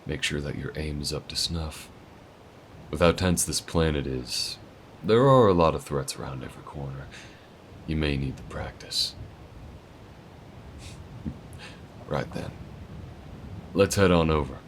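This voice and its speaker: A man voicing a calm male alien gunslinger in an audio roleplay, speaking to the listener in a slow, folksy drawl with a patient, protective manner. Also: extremely low pitch, slight background noise. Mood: patient instruction. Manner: patient, steady instructing.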